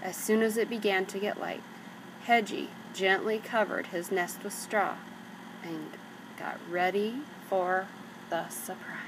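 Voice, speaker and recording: A woman's voice: mid-range voice, fairly even intonation, measured pace. Woman reading a storybook over background noise. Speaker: storybook reader. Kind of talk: expressive scripted children's story narration. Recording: clearly noisy background.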